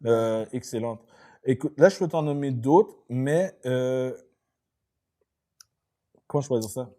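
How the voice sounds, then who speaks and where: Mellow, laid-back Québécois man. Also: mid-range voice, a little slow. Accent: Quebec French. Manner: laid-back, repeats words, English slang tossed in. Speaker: podcast co-host. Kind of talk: casual chat.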